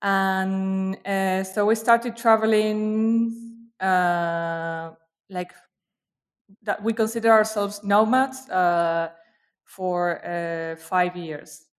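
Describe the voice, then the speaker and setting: A woman's voice: medium pitch, fairly even intonation, extremely slow. A woman from Argentina, a guest in a casual interview over a video call, talking with frequent fillers and restarts. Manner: hesitant, many fillers and restarts.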